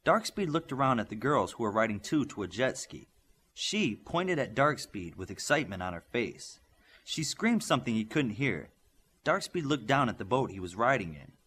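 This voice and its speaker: Expressive male audiobook narrator, slightly husky. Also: medium pitch, moderate pace. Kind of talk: scripted story narration with character voices.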